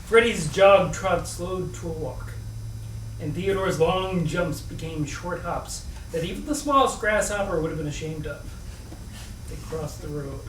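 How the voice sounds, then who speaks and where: A man giving an expressive, playful live storybook reading, with chatty asides to his listeners. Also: high-pitched. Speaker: reader at a live book reading. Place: indoor room at a live reading. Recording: echoey room, distant mic, faint noise.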